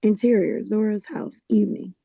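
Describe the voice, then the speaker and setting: A woman's voice: mid-range voice, steady tone, normal speed, vocal fry, breathy edge, no echo. Phone-like woman narrator. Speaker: stage directions narrator. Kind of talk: reading screenplay directions aloud. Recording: thin phone-like dry recording.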